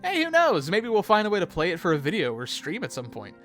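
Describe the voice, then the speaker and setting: Video commentary host, casual and expressive, swooping intonation, music bed. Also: mid-range voice, quick pace.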